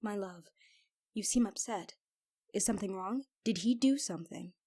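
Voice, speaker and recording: Husky, devoted lady knight played by a woman. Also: mid-range voice, normal speed, dark tone. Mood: tender, protective. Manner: formal, earnest, restrained. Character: devoted, protective female royal knight, lover of princess. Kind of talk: ASMR fantasy audio roleplay.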